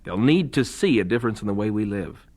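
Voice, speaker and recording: An actor playing a principled young missionary husband in an audio drama, earnest with a dry, teasing humor. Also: male voice, fairly low pitch, expressive, fast talker, darkish tone.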